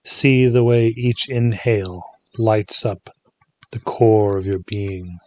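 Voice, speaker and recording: A man leading a guided meditation in a soothing, hushed manner, leaving long pauses between short phrases. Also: fairly low pitch, steady tone, no echo.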